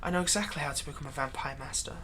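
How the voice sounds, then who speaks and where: A man playing a vengeful slave who is turning himself into a vampire, in a close roleplay monologue, taunting and theatrical, dropping into breathy whispers. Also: a little high, fairly even intonation, racing, pushed and bright, slight background noise. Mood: menacing, determined. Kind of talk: roleplay audio acting, close ASMR monologue.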